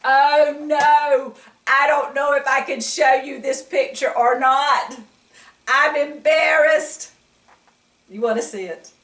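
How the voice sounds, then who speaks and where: A woman reading a picture book aloud to children in an animated way, often putting questions to the listener. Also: sits high, slow pace. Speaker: children's story reader. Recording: echoey room, distant mic.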